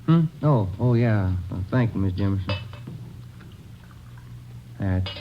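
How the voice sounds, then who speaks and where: A steady American police detective speaks plainly in a lowish voice. The acting is old radio crime drama, heard through a noisy vintage recording. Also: male voice, takes it slowly, lo-fi sound. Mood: subdued.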